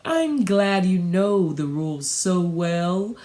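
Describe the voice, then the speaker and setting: A woman voicing a patient female pig schoolteacher in a children's storybook, explaining things in a calm, measured, reassuring way. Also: on the low side, voice pushed down. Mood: kindly instructive.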